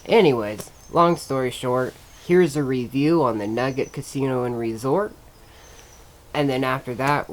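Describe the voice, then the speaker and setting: A man's voice: medium pitch, slight background noise, poor audio. A man talking casually and informally as a vlogger, rambling a little as he introduces a review. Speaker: travel vlogger and host. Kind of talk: casual vlog talk and review.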